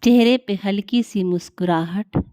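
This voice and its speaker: A woman leading a guided meditation in a calm, soothing, unhurried way with long pauses. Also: medium pitch, no room sound, clean studio sound.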